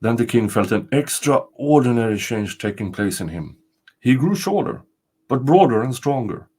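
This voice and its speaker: Older guy reading an old folktale out loud, storyteller style, mid pitched voice. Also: animated, moderate pace, husky. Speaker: storyteller, narrator. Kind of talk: scripted folktale narration, read aloud.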